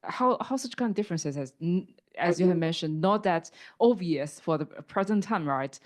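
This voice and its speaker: A woman's voice: on the low side, animated, normal speed. A woman judge asking an unscripted question, hesitant and full of restarts. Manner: hesitant, many fillers and restarts. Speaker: competition judge.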